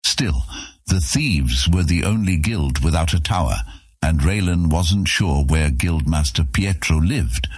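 A man with a deep, husky voice reading scripted fantasy audiobook narration. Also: animated, unhurried, no room sound.

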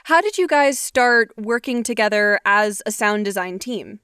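Smooth-voiced woman podcast host. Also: mid-range voice, animated, normal speed, sharp tone, no room sound, clean studio sound. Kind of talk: scripted narration and interview questions.

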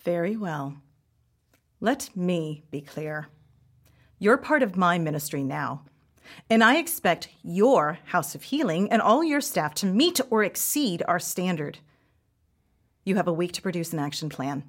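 An actress playing a stern, officious government minister in an audio comedy drama, formal and disapproving. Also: female voice, on the low side, big pitch swings, a little slow. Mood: cold, firm.

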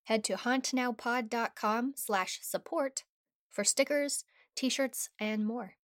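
A woman's voice: a little high, slow pace, a bit bright, no echo. A woman who created the show, reading scripted podcast announcements in a playful, upbeat manner. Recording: dry, faint music behind.

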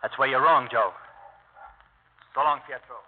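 An actor playing a tough, sardonic, embittered man with a husky voice and clipped talk in a 1950s radio drama. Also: medium pitch, steady tone, unhurried, natural voice. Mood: urgent. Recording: narrow, lo-fi old radio broadcast.

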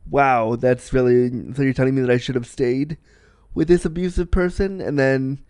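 Man, podcast host, sniffly with a cold, rambling chatty delivery, smooth voice, dry close mic. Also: mid-range voice, steady tone, normal speed, a little mellow, no room sound. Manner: chatty, rambling, repeats himself. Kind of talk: casual solo podcast chat.

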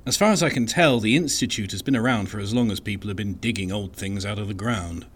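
An actor playing a reflective male archivist in an audio drama, musing and deliberate as he recounts a mystery. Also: fairly low pitch, husky. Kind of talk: audio fiction monologue.

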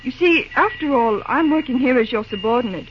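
An actress playing a prim, earnest young secretary in an old-time radio drama, proper, guarded and hesitant. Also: female voice, high-pitched, lively intonation, fairly quick, muffled recording, a little hiss or hum. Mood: cool, formal.

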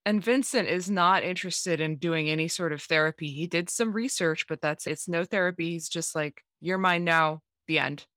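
A woman's voice: lowish voice, moderate pace, hardly any pauses, a bit bright, no room sound, clean studio sound. A woman co-hosting a casual podcast, chatting about a film with lots of "like". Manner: chatty, with frequent "like". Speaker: podcast co-host. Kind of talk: casual movie discussion.

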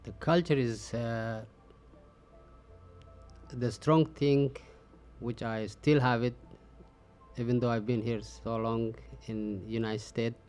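A middle-aged man from Ethiopia whose first language is Oromo, answering interview questions in a halting way with many pauses and fillers. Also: mid-range voice, fairly even intonation, a little mellow, soft background music. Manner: halting, frequent "uh" fillers, simple phrasing. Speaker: interview guest.

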